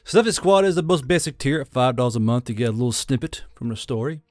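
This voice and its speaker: A man's voice: mid-range voice, expressive, quick pace, no room sound, clean studio sound. A man giving a casual, rambling channel outro as host, self-deprecating and sweary. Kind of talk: casual outro and membership plug.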